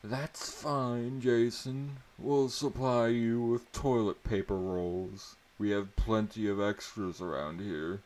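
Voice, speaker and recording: A man's voice: on the low side, steady tone, takes it slowly, few pauses, darkish tone, pitched above the performer's own voice. A man voicing an irritated, sardonic woman schoolteacher with an emphatic, theatrical delivery in a dramatic story reading.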